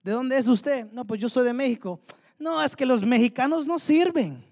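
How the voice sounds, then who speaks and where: A man's voice: very high-pitched, moderate pace, a little mellow. A man preaching a sermon, fervently asking the congregation rhetorical questions. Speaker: pastor. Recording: long hall reverb.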